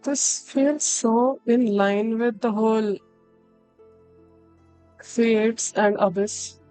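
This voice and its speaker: A woman's voice: a little high, extremely slow, dark tone, clear, resonant tone, low-quality recording. A woman streaming a game, thinking aloud in casual, musing reactions. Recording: music under the voice.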